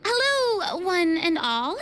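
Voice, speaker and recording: A high, youthful young lady, proud yet easily flustered. She speaks with theatrical formality and small hesitations, as a video game character. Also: very animated, slow, heavy background noise, exaggerated voice. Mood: flustered, nervous. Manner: hesitant, stammering, formal and dramatic. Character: proud, flustered young woman, a theatrical former star performer.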